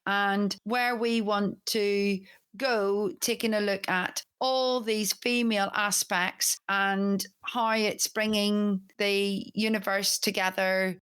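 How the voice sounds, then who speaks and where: A woman's voice: mid-range voice, fairly bright tone, clear, resonant tone. Chatty Northern Irish woman in her forties. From Northern Ireland. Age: 40s. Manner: chatty, enthusiastic, rambling. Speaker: podcast co-host. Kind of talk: casual podcast chat.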